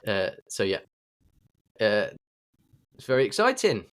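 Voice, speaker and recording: A man's voice: lowish voice, huge pitch swings, a little slow. A man with a British accent chatting casually as a podcast co-host, given to jokey, sarcastic banter. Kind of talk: casual chat.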